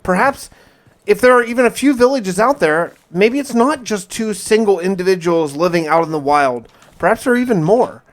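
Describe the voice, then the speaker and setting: A man's voice: high voice, expressive, normal speed. A man narrating his survival gameplay like a diary, telling it casually but dramatically, with wry asides. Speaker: gamer narrating a survival challenge.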